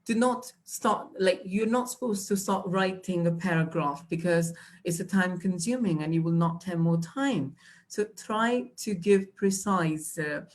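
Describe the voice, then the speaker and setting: A woman's voice: lowish voice, a little slow. A young woman, teaching exam tips patiently with many fillers and restarts. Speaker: IELTS trainer. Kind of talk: live online teaching.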